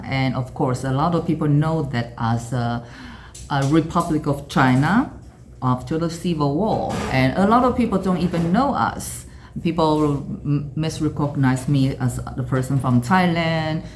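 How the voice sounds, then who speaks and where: A woman's voice: low voice, a little slow, darkish tone, slight background noise. Earnest woman with a Taiwanese accent. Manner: earnest, reflective, with frequent restarts and repeated words. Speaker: interviewee. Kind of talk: personal storytelling.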